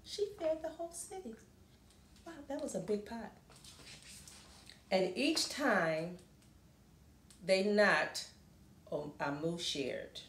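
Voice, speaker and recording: A woman reading a picture book aloud expressively to children, with explanatory asides and questions in a deliberate manner. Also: mid-range voice, expressive, a bit bright, slight background noise. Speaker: children's storybook reader. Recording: roomy, echoing room sound.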